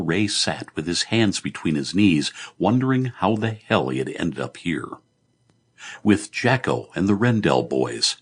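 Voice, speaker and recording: Deep husky man narrating fiction. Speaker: audiobook narrator. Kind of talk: scripted literary fiction narration.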